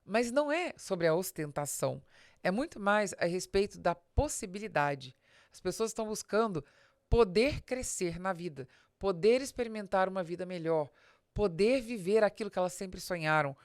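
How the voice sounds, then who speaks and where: A woman's voice: mid-range voice, lively intonation, normal speed, no echo, studio quality. A woman with a Brazilian accent giving an explanatory business talk as a podcast host, speaking straight to the listener in a didactic way with emphatic stresses.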